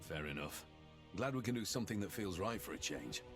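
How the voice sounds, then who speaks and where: An actor playing a weary, gruff and loyal monster hunter in a video game. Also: male voice, deep voice, animated, fairly quick. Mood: dry, approving. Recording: loud music underneath. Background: game music.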